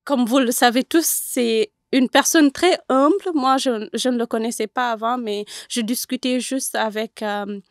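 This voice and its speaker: A woman's voice: fairly high pitch, a little slow, few pauses, no echo, clean studio sound. A woman, speaking hesitantly and carefully in an interview. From Senegal. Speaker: guest, former student. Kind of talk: conversational interview.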